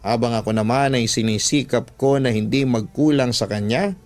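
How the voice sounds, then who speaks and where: A man's voice: mid-range voice, normal speed, a little mellow. A man with a Filipino accent telling a love story as a radio drama storyteller, in an emotive, sentimental scripted style. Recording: music bed behind the voice.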